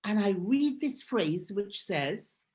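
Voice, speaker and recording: A woman's voice: mid-range voice, animated, takes it slowly, darkish tone. A woman from Israel whose first language is Hebrew, giving an earnest, emphatic personal talk as a guest speaker. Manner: earnest and emphatic, repeating phrases for force.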